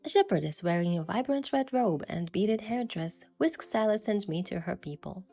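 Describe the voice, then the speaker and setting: Wistful young hunter heroine. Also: female voice, mid-range voice, animated, normal speed, darkish tone, faint music behind. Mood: reflective, descriptive. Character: young female hunter heroine, earnest, wistful, playfully cheeky. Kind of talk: first-person story narration and game dialogue.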